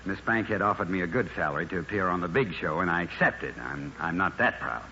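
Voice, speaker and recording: A man's voice: sits low, expressive, a little fast, muffled recording, noisy background. A man, an actor, giving a scripted comic monologue on a 1950s radio broadcast.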